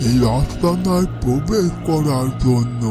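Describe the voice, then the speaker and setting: Slow, deliberate fairytale guardian voiced by a man. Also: on the high side, over music, lightly acted. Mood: warning. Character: calm, knowing male protector of a magical hideout. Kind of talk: melodramatic Bengali fairytale cartoon acting.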